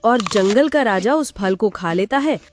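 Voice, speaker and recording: A woman's voice: medium pitch, expressive, unhurried, soft background music. A woman narrating a Hindi cartoon story in a simple storytelling way.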